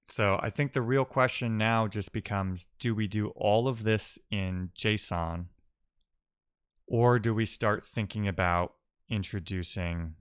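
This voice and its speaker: A man's voice: fairly low pitch, slow pace, clear, resonant tone, dry recording, studio quality. A man talking casually through technical ideas as a live-coding streamer, musing aloud and posing questions to himself.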